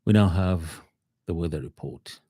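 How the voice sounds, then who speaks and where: A man reads a formal radio news bulletin in a measured, slightly halting way. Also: low-pitched, warm tone, breathy edge, soft background music. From Zambia. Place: radio studio.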